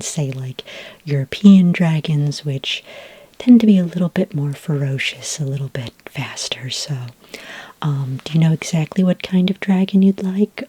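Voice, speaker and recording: A woman playing a friendly, patient dragon ranch expert in a soft-spoken ASMR roleplay, whispery and breathy, explaining gently and hesitantly. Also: low voice, no room sound.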